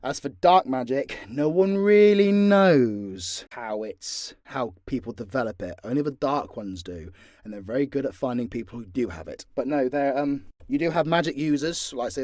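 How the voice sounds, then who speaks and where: A man's voice: mid-range voice, expressive, moderate pace, darkish tone, no echo, clean studio sound. A man with a British accent answering questions in a casual, unscripted way, blunt, sweary and informal. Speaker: audio creator and voice actor.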